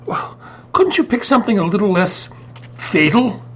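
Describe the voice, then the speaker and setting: A man's voice: sits high, big pitch swings, moderate pace. A man playing a timid, polite funeral director in a comic readers theater piece, stammering and hesitant with flustered courtesy. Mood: alarmed. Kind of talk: comedic readers theater acting. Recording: dull muffled audio with faint hum.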